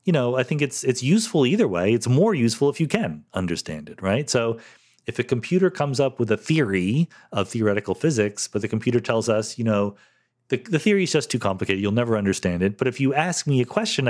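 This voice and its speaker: A man's voice: medium pitch, very animated, quick pace. A middle-aged man hosting a solo podcast, reading listener questions and answering them in a thoughtful, conversational way with fillers and restarts.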